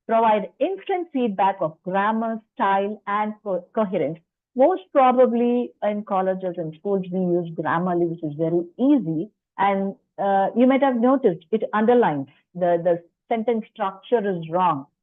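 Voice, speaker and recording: A woman's voice: medium pitch, dry recording. A woman lecturer presenting at a faculty workshop, explaining in a chatty way with personal asides and rhetorical questions. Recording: dry tinny phone-like line.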